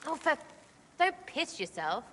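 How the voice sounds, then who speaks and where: Cheeky brash rogue girl from a game, sharp voice jumping all over, blunt and informal, big echoey hall. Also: sits high, big pitch swings, unhurried, full character voice. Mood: brash, impatient. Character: brash, irreverent young woman rogue. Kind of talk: video game cutscene acting. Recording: long hall reverb, faint noise.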